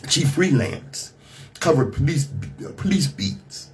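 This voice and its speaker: American radio host, slightly rough-voiced man. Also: a little high, very animated, slow pace, darkish tone, slight background noise. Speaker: radio talk show host. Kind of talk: radio monologue mixing scripted commentary and casual asides.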